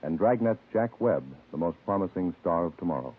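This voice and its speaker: A man's voice: low-pitched, lively intonation, fairly quick, breathy edge. An American man making formal scripted announcements in vintage 1950s radio style. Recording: tinny vintage radio, loud brass music.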